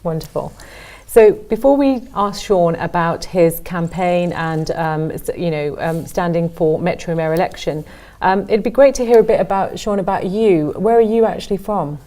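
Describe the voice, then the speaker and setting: A woman's voice: fairly low pitch, moderate pace, warm tone. A woman hosting an interview show, welcoming her guest and asking questions. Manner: welcoming, brief acknowledgements. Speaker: show host.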